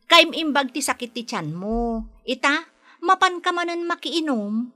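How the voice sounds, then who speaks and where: An actress playing a concerned, scolding younger sister in a radio drama, with an Ilocano Filipino accent. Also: female voice, high voice, expressive, a little slow, pushed and bright, full character voice. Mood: reproachful. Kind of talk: Philippine radio drama acting.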